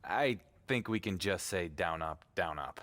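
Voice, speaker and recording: A man's voice: low voice, expressive, unhurried, fairly bright tone, big-room sound. An actor playing a defensive, sarcastic wrestling champion in a video game, a man with a wry, casual way of talking. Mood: puzzled. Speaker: wrestling champion and show co-host. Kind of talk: scripted video game cutscene acting.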